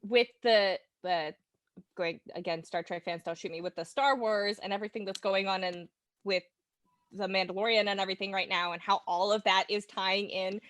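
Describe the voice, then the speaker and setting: A woman chatting casually in a giggly, excitable and self-deprecating way, as a fan guest on a talk show. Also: mid-range voice, normal speed, no room sound, studio quality. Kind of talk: casual chat.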